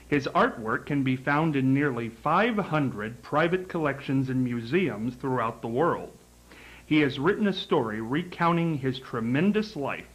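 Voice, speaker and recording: A man's voice: mid-range voice, poor audio. A man with an American accent hosting an interview show, speaking in formal introductions and direct questions. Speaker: talk show host, interviewer.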